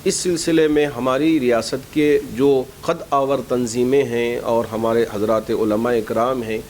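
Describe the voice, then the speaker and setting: A man from gives a formal, measured explanatory public statement as an imam addressing his community. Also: mid-range voice, steady tone, a little mellow, a little hiss or hum. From India.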